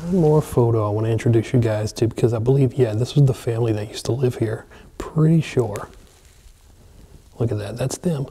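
A man excitedly narrating a walkthrough of an empty mansion, chatting to viewers as he films. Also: medium pitch, brisk, slightly rough. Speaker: abandoned places explorer. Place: large empty mansion, indoors.

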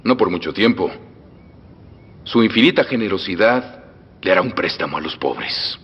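An actor with a Mexican accent dubbing a middle-aged revolutionary general who teases, threatens and bursts into loud laughs. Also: male voice, sits low, lively intonation, normal speed, breathy edge. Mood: menacing. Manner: teasing, swings from soft menace to loud outbursts. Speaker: dubbed film character. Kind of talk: film dubbing. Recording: dull film soundtrack with long reverb and faint music.

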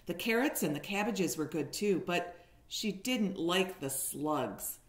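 Woman reading a storybook to kindergartners, takes it real slow, expressive teacher delivery, bit echoey room on a webcam. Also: on the low side. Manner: expressive storytelling with questions to young listeners. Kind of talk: picture-book read-aloud for young children. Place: living room at home, indoors. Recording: roomy echo on a home webcam.

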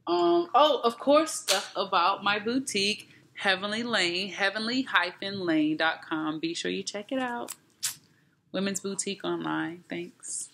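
A woman's voice: medium pitch, a little slow, a bit bright, a little hiss or hum. A woman, chatting casually and rambling with lots of fillers in a home vlog, recorded on a laptop webcam in a living room. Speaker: vlogger and boutique owner. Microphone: laptop webcam at close range.

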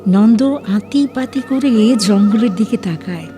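A woman's voice: medium pitch, lively intonation, a little slow, dark tone. A woman reading scripted story narration for a dramatized audio book. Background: background music.

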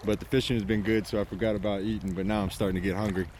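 A man in his forties, casually talking to a handheld camcorder while wading in a river, relaxed and self-deprecating. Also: lowish voice. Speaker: fly fishing vlogger. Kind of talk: casual outdoor vlog commentary. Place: outdoors, wading in a river in early spring. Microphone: handheld camcorder mic. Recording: wind noise on a camcorder mic outdoors. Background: wind and rushing water.

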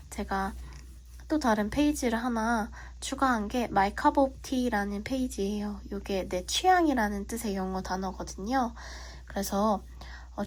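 A young woman with a cold and a husky, slightly whispery voice, politely walking viewers through a planner in a relaxed vlog with frequent fillers. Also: mid-range voice. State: has a cold. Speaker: vlogger. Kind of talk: casual tutorial walkthrough. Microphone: phone.

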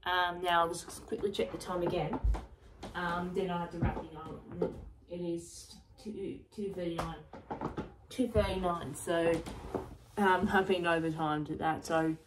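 Low, hoarse woman rambling. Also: fairly even intonation, unhurried, background noise. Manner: repetitive, loose, restarts and repeats herself often. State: going croaky, hoarse. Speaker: vlogger. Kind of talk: rambling personal vlog.

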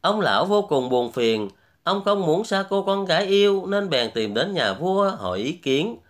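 A man's voice: sits high, big pitch swings, normal speed. A man hosting and narrating a fairy tale from a script as a storyteller. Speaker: storyteller, host.

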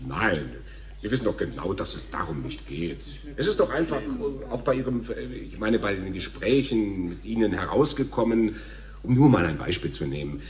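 An actor playing a stammering, self-important and patronizing television talk show host in a radio play. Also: male voice, lowish voice, a bit bright. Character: flustered, smug male TV talk show host. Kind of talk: German radio play acting.

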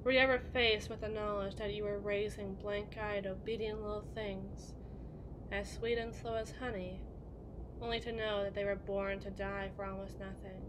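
A woman's voice: mid-range voice, level tone, moderate pace, noisy background, lightly acted. A woman playing a melancholy, guilt-ridden evil queen in an ASMR roleplay monologue, speaking in formal, introspective phrases to someone she loves. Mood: wistful, brooding.

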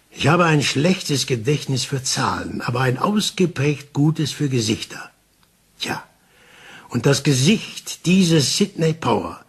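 An actor playing an older male detective in a German crime radio play, calm, insistent and explaining things deliberately with dramatic pauses. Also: medium pitch, husky. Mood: reflective, deliberate.

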